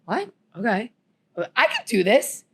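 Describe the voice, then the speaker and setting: A woman's voice: on the high side, big pitch swings, a little slow, creaky, fairly bright tone, breathy edge. A woman from New Jersey with a New Jersey accent, co-hosting a podcast and telling a story in casual chat, acting out the voices she quotes. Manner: chatty, acts out quotes. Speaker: podcast co-host.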